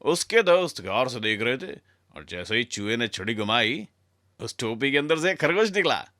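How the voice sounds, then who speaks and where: Bright, animated male storyteller. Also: mid-range voice, moderate pace, no echo, clean studio sound. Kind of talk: scripted children's story narration.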